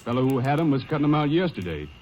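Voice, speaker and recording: An actor playing a defensive rancher in a radio drama, talking in a colloquial drawl. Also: male voice, mid-range voice, big pitch swings, racing, darkish tone, muffled recording, slight background noise, lightly acted. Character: nervous, defensive male rancher.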